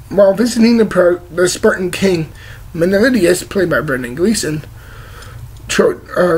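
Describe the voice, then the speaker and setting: A young man with a cold, his voice croaky and cracking, rambling through a blunt movie review vlog on a webcam in his living room. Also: sits high, rough recording. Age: young adult. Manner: rambling, gesturing a lot, blunt and swearing. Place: living room with leather couch, indoors. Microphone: webcam at desk distance.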